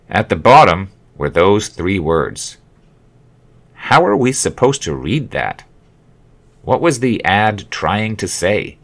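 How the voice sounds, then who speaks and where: A man narrating his own memoir as an audiobook, with expressive, wry storytelling. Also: low voice. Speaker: author reading own memoir.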